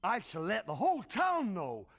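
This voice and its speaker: A man's voice: high voice, wildly swooping pitch, normal speed, full character voice. An actor playing a boisterous, proud middle-aged father in a cartoon.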